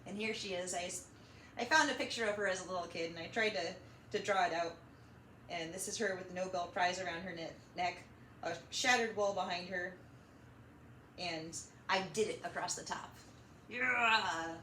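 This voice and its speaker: Children's storytime host: woman, bright high voice, encouraging and direct, recorded in an echoey room. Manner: animated, encouraging, talking directly to young listeners. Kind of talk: children's storytime reading and chat. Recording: roomy echo, slight background noise.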